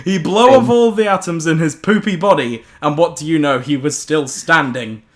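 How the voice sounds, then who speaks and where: A man's voice: on the high side, normal speed, a crowd in the background. A young man reading a silly horror story to friends, giggling and stumbling over the words as storyteller.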